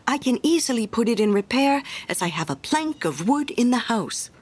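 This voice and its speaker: A woman's voice: on the high side, sing-song, normal speed, husky, voice pushed up. A woman doing a meek, eager little gardener. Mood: grateful. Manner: eager, grateful, earnest. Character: humble, cheerful poor young gardener.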